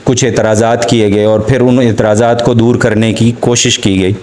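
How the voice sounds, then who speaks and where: A man with a Pakistani accent giving a formal academic literature lecture, halting and deliberate, with frequent pauses to find his words. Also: lowish voice, level tone, a little mellow, a little hiss or hum.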